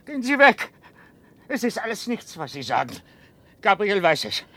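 An actor playing a confused, childlike and paranoid old father in a radio play, halting and repetitive. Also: very animated, bright, forceful tone, full character voice. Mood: agitated, defensive. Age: older. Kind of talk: German radio play acting. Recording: rumbly, noisy archive radio recording.

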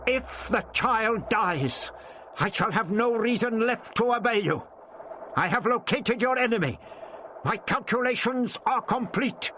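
A man's voice: sits high, animated, unhurried, fairly bright tone, a little hiss or hum, voice pushed up. A man voicing an irascible, proud elderly man who speaks sharply and declaims. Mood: indignant.

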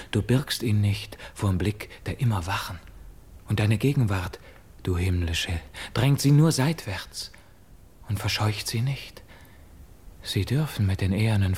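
A man's voice: mid-range voice, a bit bright, breathy edge. A doomed, guilt-ridden hero of a classical radio play. His voice sits in a middle register. He declaims verse theatrically, brooding and anguished, with a fevered intensity. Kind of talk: classical German verse radio drama acting.

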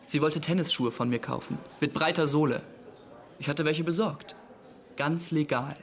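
An actor playing a defensive young small-time hustler in a radio crime drama, evasive, streetwise and protesting. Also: male voice, on the high side, normal speed, natural voice. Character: defensive, cocky 25-year-old male small-time hustler. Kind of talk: naturalistic radio play acting.

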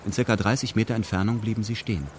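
A male narrator reads a scripted radio drama in a fairly low voice. His delivery is formal and matter-of-fact. Clear ambient noise runs behind him. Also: fairly even intonation, racing.

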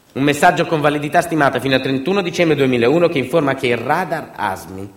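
Male stage narrator, mid-range voice. Sardonic documentary monologue with dry asides and dramatic emphasis, in a roomy echo. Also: mid-range voice. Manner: sardonic, ironic understatement with rhetorical asides; dramatic swings between emphatic delivery and quiet asides. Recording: echoey, roomy sound.

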